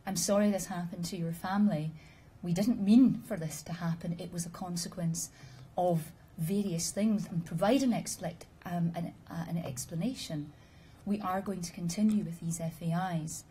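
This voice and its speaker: A woman speaks to a room of listeners in a measured, explanatory way, with a dry sense of humor. Her voice is husky and mellow, warm rather than bright. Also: fairly low pitch, steady tone, poor audio. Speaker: conference speaker. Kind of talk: formal presentation with audience questions.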